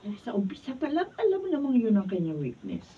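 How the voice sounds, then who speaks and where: A gentle, distant older Filipina mother. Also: on the high side, wildly swooping pitch. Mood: advising. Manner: gentle, unhurried advising. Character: calm, counselling older mother. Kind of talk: Filipino radio drama acting. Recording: thin phone-like, distant, faint noise.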